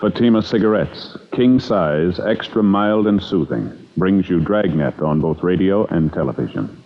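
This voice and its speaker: A man's voice: deep voice, lively intonation, measured pace, gravelly. An American man reading scripted 1950s radio announcements in a solemn, authoritative way. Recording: dull vintage radio, loud brassy music.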